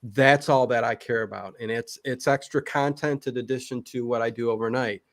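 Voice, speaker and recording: An American man from Cleveland chatting casually as a livestream guest, excitable, often repeating himself and interjecting. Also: mid-range voice, moderate pace, no echo, clean studio sound. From Cleveland, United States. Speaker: YouTube channel host, interview guest.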